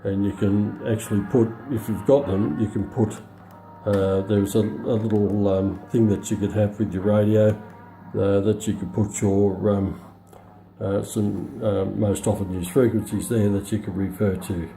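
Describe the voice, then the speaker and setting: A middle-aged man giving an unscripted product demonstration in a halting, matter-of-fact way. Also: on the low side, fairly even intonation, gravelly, dark tone, reverberant, soft background music, low-quality recording. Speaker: presenter of a radio review. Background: shortwave radio broadcast playing.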